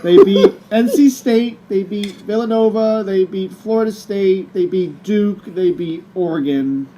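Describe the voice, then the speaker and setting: A young man co-hosting a casual chat show, relaxed and laughing along. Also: high voice, unhurried, slight background noise, low-quality recording. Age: young adult. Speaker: co-host.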